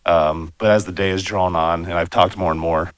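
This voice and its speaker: A man with a raspy voice, hoarse from a cold, presenting a plan to a city commission in a loose, conversational way with lots of fillers. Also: low voice, steady tone, normal speed, fairly bright tone, no echo. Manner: conversational, many fillers and asides. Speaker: bicycle and pedestrian planner presenting. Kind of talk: public meeting presentation.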